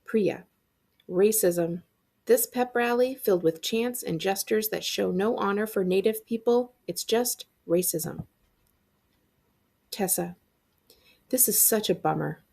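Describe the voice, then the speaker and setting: Creaky-voiced woman reading a novel aloud. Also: mid-range voice, darkish tone. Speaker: librarian reading a book aloud. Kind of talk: expressive read-aloud of a middle-grade novel.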